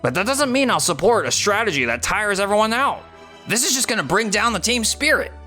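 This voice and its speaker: A frustrated young office worker, acted by a man for a manga dub, with music underneath. The delivery is emotional and very lively, with a bright edge and a defensive, insecure streak. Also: sits high, fairly quick, exaggerated voice. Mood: indignant protest. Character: frustrated, outspoken, insecure male office salesman, young adult. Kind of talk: English manga dub acting.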